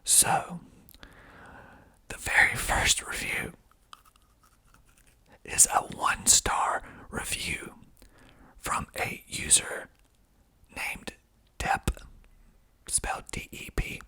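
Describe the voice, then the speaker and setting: An American man from Georgia speaking in a breathy ASMR whisper, explaining things in a hesitant, unhurried way close to the microphone. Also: bright, forceful tone. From Georgia, United States. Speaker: host, filmmaker.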